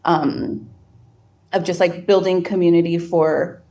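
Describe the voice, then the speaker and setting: A woman's voice: lowish voice, steady tone, measured pace, dark tone. A woman in a casual podcast discussion, speaking thoughtfully and hesitantly with many fillers. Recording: a bit distant from the mic.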